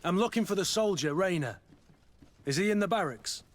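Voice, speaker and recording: A man voicing a gruff, loyal mercenary captain in a video game, speaking bluntly and dryly. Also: high-pitched, animated, fairly quick. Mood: wry, businesslike.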